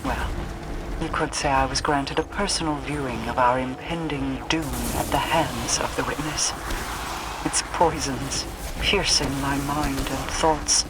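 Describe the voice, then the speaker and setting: A woman playing a commanding, solemn queen in a video game, with a formal, measured way of speaking. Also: rumbling low, steady tone, heavy background noise. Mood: haunted, solemn. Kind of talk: dramatic game voice acting. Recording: loud music over voice.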